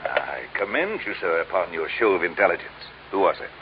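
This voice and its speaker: An actor playing a pompous, scheming crime boss in a radio drama, speaking in florid, mock-courteous phrases. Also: male voice, low voice, big pitch swings, brisk. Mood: florid, cynical. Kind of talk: old-time radio drama acting. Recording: dull, hissy old radio recording.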